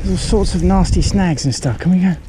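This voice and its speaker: Excited man narrating a fish fight outdoors. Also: high-pitched, fairly quick. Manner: excited running commentary, talking to the fish. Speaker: fly fishing guide and show host. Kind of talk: live commentary while fighting a fish. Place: outdoors on a riverbank, open valley. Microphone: head-mounted action camera. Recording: outdoor wind noise on camera mic.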